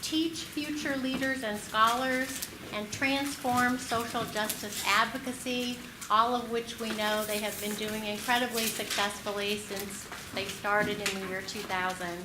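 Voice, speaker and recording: A woman's voice: a little high, fairly even intonation, measured pace, hardly any pauses. A woman introducing a keynote speaker at a conference, admiring and with frequent filler words. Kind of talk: formal introduction. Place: conference room. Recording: roomy hall with clear noise.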